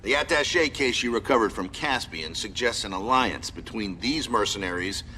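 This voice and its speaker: A man's voice: on the low side, animated, normal speed, pushed and bright, a little hiss or hum, rough recording. A man playing a stern military commander who briefs his squad in a video game, formal, clipped and authoritative. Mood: stern, businesslike. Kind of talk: video game cutscene acting, mission briefing.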